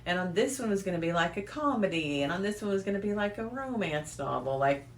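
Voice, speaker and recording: A woman's voice: medium pitch, expressive, fast talker. An American woman chatting casually as a podcast co-host. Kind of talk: casual chat. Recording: roomy echo, clear background noise.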